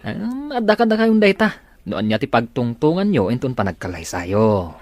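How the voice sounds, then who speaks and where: Teasing best pal, male actor, encouraging, very lively intonation, dry radio-style drama sound. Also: medium pitch, normal speed, dry recording, lightly acted. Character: teasing, encouraging male buddy of a young farmer.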